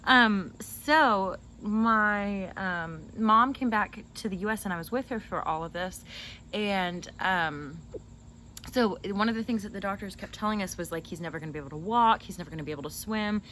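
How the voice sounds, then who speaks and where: A woman's voice: medium pitch, moderate pace, pushed and bright. A woman hosting a casual chat with her brother, telling stories in an informal, digressive and giggly way. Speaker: host interviewing her brother. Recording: clearly noisy background.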